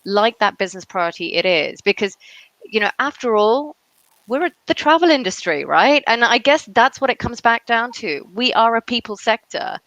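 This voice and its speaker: A woman's voice: on the high side, animated, moderate pace, fairly bright tone, no room sound, muffled recording. A middle-aged woman speaking as a panelist about her own career and beliefs, emphatic and often checking in with "right?". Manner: emphatic, punctuates points with "right?" and "you know". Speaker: conference panelist, travel industry executive.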